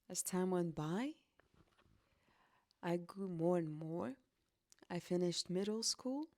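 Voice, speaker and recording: A young woman from Latvia speaks slowly and earnestly as she hosts her own podcast, telling her life story. Her voice sits a little low and sounds dry and close, with no room around it. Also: darkish tone, no room sound. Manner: slow, reflective and earnest. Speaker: podcast host. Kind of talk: personal storytelling monologue.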